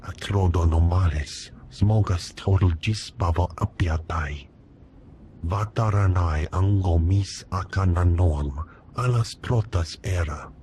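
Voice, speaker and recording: Slow, deep-voiced alien. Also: male voice, a little mellow, slight background noise. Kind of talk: video game alien dialogue.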